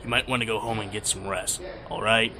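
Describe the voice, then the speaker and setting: An actor playing a tired, laid-back forensic examiner in a conversational radio drama style. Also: male voice, lowish voice, fairly even intonation, brisk, heavy background noise, natural voice. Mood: resigned, friendly. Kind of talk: conversational audio drama acting.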